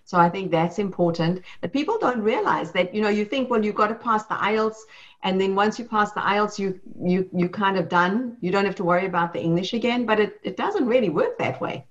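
A woman's voice: mid-range voice, fairly quick. A woman with a mid-range voice moderating a panel, speaking assertively as she guides the talk. The recording is muffled and narrow-band. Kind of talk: panel discussion.

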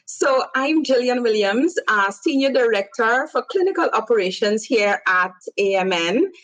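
A woman's voice: fairly high pitch, expressive, moderate pace, dry recording, low-quality recording. A woman with a foreign accent speaking politely as a panel guest. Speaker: clinical operations director, panel guest. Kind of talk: online panel talk.

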